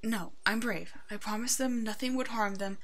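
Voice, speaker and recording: A woman voicing a brave, protective werewolf in an ASMR roleplay, soothing and halting, with a soft, hushed delivery. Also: on the high side, slight background noise. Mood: nervous, cautious.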